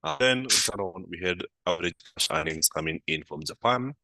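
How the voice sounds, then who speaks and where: A man's voice: low voice, expressive, vocal fry, sharp tone, no room sound, poor audio. A man hosting a live market analysis stream, his voice a little off from a flu, talking unhurriedly with many "uh" fillers. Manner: unhurried, with frequent "uh" fillers, answering live comments. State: voice affected by a flu.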